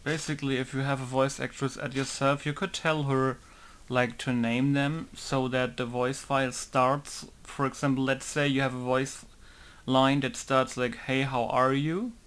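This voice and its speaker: A man of middle pitch gives a casual, loose explanation of game modding. He rambles and keeps saying "basically" as he talks through the steps. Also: little pitch movement, measured pace, fairly bright tone, smooth. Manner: rambling, says "basically" again and again. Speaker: game modder. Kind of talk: casual screen-recorded tutorial.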